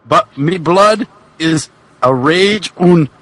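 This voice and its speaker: A man voicing a bitter Irish wrestler in a comic amateur reading, with halting, drawn-out lines and a put-on Irish accent. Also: fairly high pitch, animated, fairly bright tone, no echo, voice pushed up, exaggerated voice. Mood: mock sorrowful. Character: bitter pale Irish wrestler, melodramatic and sorrowful. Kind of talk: amateur comic dramatic reading of fan fiction.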